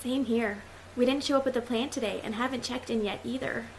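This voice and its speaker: An actress playing a newlywed young wife fleeing a dystopian regime, speaking with wary caution in an amateur radio drama. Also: female voice, on the high side, fairly even intonation, a little fast, slight background noise, close to an everyday voice, rough recording.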